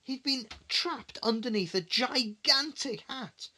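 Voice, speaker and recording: A man's voice: extremely high pitch, slow, pushed and bright. A man reading a bedtime story to his young child in a conversational way, with questioning asides and dramatic repetition.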